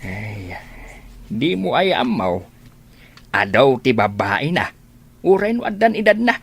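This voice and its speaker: An actor as a gossipy older uncle from a radio drama, with a husky voice and an Ilocano accent. He is plain-spoken and working-class, and his pitch moves about freely as he talks. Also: sing-song, slow, exaggerated voice. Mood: gossipy, insistent.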